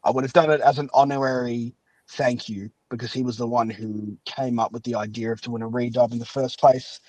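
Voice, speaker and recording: Male podcast host, enthusiastic and rambling, mid-pitched voice in casual conversation. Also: fairly even intonation, brisk, darkish tone, dry recording, low-quality recording. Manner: chatty, rambling, giggly, enthusiastic. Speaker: podcast host and fan-dub maker. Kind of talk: casual chat.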